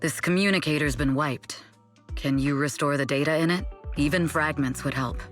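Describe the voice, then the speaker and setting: A woman playing a shrewd, composed female manager and former spy in a video game, measured, dry and matter-of-fact. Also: low-pitched, faint music behind, lightly acted. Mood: serious, focused.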